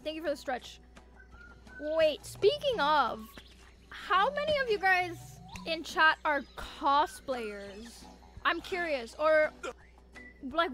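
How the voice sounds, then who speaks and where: A woman's voice: high-pitched, a bit bright. A woman livestreaming games, chatting casually and teasingly with viewers, with playful theatrical emphasis. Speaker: VTuber game streamer. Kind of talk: casual livestream chat, reacting to viewers' messages.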